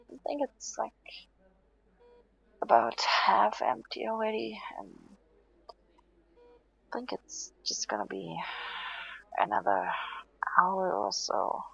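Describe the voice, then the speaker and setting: A woman playing a tired, stubborn but playful patient in an intimate audio roleplay, murmuring sleepily and haltingly, often close to a whisper. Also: medium pitch, fairly bright tone, dull audio, full character voice. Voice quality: breathy, often dropping to a whisper.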